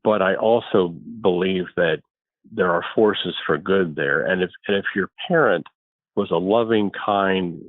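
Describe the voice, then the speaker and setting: Deep-voiced man on a tinny call line. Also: a little slow, no echo. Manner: thoughtful, repeats words as he starts a thought. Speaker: guest. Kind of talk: relaxed conversational interview. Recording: tinny phone-like line, dry.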